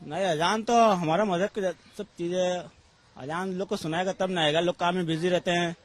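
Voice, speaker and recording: A man with an eastern Uttar Pradesh accent answering informally in a street interview, in simple, halting phrases. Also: high voice, steady tone. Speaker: local resident interviewee. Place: on location in a local neighbourhood.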